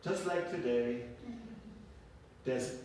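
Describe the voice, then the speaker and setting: A man tells folk tales live in a halting way, repeating phrases as he goes. His voice sits at a middling pitch. It comes from some distance in a strongly reverberant room with faint noise. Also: a little mellow. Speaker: storyteller. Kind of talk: live folk tale telling to a group. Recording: echoey room, far from mic, faint noise.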